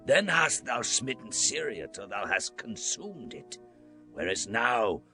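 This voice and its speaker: Wrathful old prophet, very slow, sharp forceful tone, swooping pitch, solemn archaic scripture drama. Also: male voice, sing-song, soft background music, exaggerated voice. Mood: stern, wrathful. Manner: solemn, commanding, archaic drawn-out delivery. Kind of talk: dramatized Bible audiobook acting.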